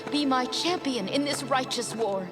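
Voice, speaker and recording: A woman's voice: high voice, moderate pace, over loud music, close to an everyday voice. A woman playing an imperious queen in a video game, rallying her kingdom for war with grandiose, commanding oratory.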